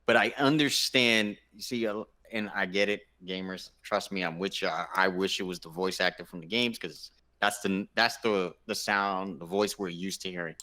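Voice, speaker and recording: A man with a low voice and a bright, punchy tone chats casually as a gaming show co-host. He leans on his points and repeats phrases for emphasis. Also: fairly even intonation, normal speed, hardly any pauses. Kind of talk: casual panel chat.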